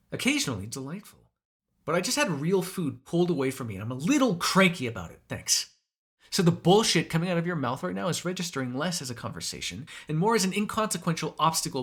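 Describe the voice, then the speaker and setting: A man's voice: medium pitch, a bit bright, slightly rough. A man voicing a hungry, cranky, flirtatious male vampire in an ASMR audio roleplay, sarcastic and given to swearing. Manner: sarcastic, swearing, moody, shifting from murmurs to exasperated outbursts.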